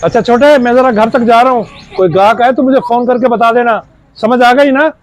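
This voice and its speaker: A man playing a crooked, glib motorbike mechanic and shop boss in an acted moral-story skit, smooth-talking and bossy. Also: very high-pitched, fairly even intonation, fairly quick.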